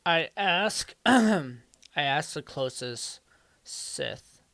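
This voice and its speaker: A man's voice: on the high side, expressive, very slow, creaky, pushed and bright, dry recording, studio quality. A man bantering casually and playfully as a podcast co-host playing a game.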